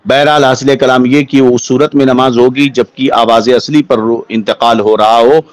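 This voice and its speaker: A man's voice: mid-range voice, steady tone, normal speed, dry recording. A man, an Islamic scholar, giving a formal, didactic religious ruling and setting out his points one by one. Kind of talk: religious ruling explained in answer to a question.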